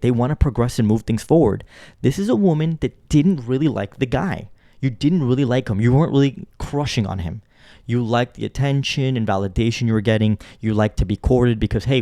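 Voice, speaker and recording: Blunt, lecturing man with a mellow voice. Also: medium pitch, no room sound, studio quality. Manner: blunt, lecturing, repeats points for emphasis. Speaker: commentator. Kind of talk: reaction commentary.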